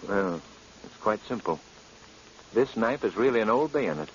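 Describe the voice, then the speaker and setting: An actor playing an assured gentleman detective in a vintage radio drama, with an earnest, clipped, theatrical delivery. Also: fairly low pitch, expressive, normal speed, slight background noise, close to an everyday voice. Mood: explaining. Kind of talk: 1940s radio drama acting. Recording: dull old radio recording.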